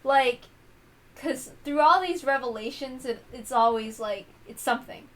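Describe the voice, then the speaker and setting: A woman hosting a read-along, giving casual commentary in a rambling, halting way that often interrupts itself. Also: sits high, a bit bright. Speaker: host reading a visual novel aloud.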